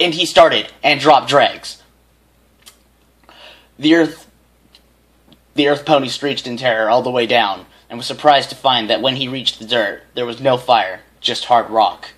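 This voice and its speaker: A man's voice: mid-range voice, unhurried, a bit bright. A man reading fanfiction aloud as an amateur, often stumbling over phrases and repeating them. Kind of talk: reading a story aloud with character voices.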